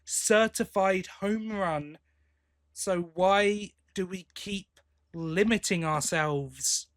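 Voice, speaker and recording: A man's voice: sits high, extremely slow, a bit bright. A man co-hosting a podcast in casual chat, giving his opinions informally and bluntly. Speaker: podcast co-host.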